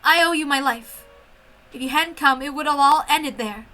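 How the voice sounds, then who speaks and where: A woman's voice: high voice, normal speed, bright, forceful tone. A woman voicing a feisty, defiant young Italian woman in danger, urgent and emotional, in a story reading. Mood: hurt, grateful.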